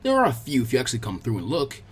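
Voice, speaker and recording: A man's voice: medium pitch, huge pitch swings, very fast, husky, voice pushed down. Man playing a genial, crafty old leader. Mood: teasing. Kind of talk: fan fiction audiobook character voice.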